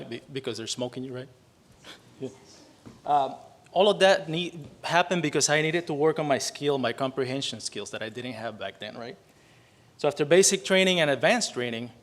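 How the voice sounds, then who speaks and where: A man in his forties from Puerto Rico with a Puerto Rican Spanish accent, a native Spanish speaker giving chatty opening remarks and often tagging his sentences with "right?". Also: medium pitch. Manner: chatty, frequent "right?" tags, self-deprecating. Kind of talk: casual storytelling speech.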